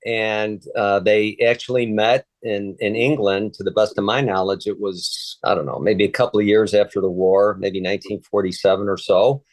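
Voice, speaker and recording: A man in his sixties from Chicago, a native Polish speaker, talking in a relaxed, hesitant way with many fillers and restarts as an interview guest. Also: on the low side, moderate pace, hardly any pauses, no echo. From Chicago, USA. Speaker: interview guest, retired Secret Service agent. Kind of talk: casual conversational interview.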